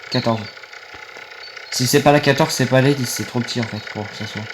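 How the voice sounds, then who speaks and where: A man's voice: mid-range voice, a little fast, noisy background. A young man, rambling casually to viewers while playing a game on his phone. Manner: rambling, easily annoyed, talks to viewers. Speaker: gaming video creator. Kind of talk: casual gameplay commentary.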